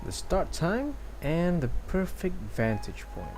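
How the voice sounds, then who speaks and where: Lively male game streamer reading aloud. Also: high-pitched, a little slow, low-quality recording. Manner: stumbles over lines, dramatized reading. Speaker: game livestreamer. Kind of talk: reading game dialogue aloud and reacting casually. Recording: game music behind the voice.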